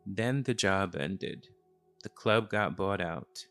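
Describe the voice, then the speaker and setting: A man narrating a scripted first-person story in a solemn, reflective, measured way. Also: sits low, fairly bright tone, soft background music.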